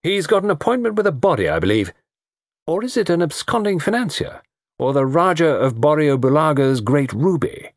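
Man acting young upper-class wag, theatrical, bantering, mock-gloomy, raised animated pitch, storybook dialogue. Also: normal speed, dry recording, clean studio sound. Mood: flippant banter. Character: flippant, melodramatic, lovesick young society gentleman.

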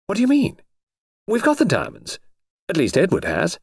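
Man putting on a languid, high-pitched young society lady. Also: huge pitch swings, moderate pace, slightly rough, no echo, clean studio sound, full character voice. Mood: startled. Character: thrill-seeking, languid young society lady, beautiful and daring. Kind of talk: audiobook character voice.

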